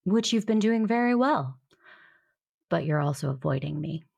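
A woman acting a composed, persistent older female ambassador in an audio drama, breathy, formal, deliberate and firm. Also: on the low side, expressive, a little mellow, dull audio, heavily acted. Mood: insistent. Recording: music under the voice.

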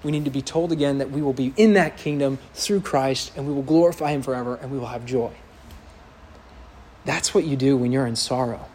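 A pastor preaching an earnest, emphatic sermon to his congregation in a church sanctuary, with a loud voice that builds to impassioned peaks. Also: mid-range voice, brisk, a little hiss or hum.

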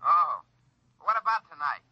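An actor playing a genial, trusting best friend with an easygoing manner in a 1950s radio drama. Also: male voice, on the high side, very animated, moderate pace, breathy edge. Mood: friendly, innocent. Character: chummy, trusting, unsuspecting male best friend. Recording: muffled vintage 1950s radio recording.

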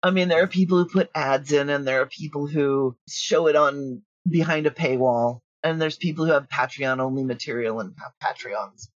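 A woman's voice: low-pitched, normal speed, hardly any pauses, no echo. Blunt woman, dull lo-fi recording. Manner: blunt, self-assured, talkative. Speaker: guest, audio drama writer and producer. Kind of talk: candid interview conversation.

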